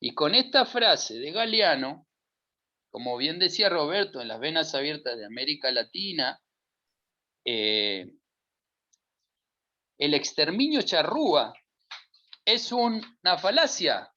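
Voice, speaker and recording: A middle-aged man with a Uruguayan Spanish accent speaks on a discussion panel. His voice sits fairly high and bright, and he stacks up emphatic lists of words. The sound is dull and lo-fi. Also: big pitch swings, takes it slowly, long pauses. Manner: emphatic, building lists of verbs. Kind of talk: discussion talk. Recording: dull lo-fi audio.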